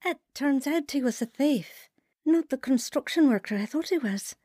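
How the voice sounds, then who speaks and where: A woman's voice: high-pitched, expressive, moderate pace, dark tone, no room sound, clean studio sound. A woman playing a vulnerable, earnest young wife and mother in a radio drama. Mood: troubled, earnest. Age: young adult. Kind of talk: radio drama acting and first-person narration.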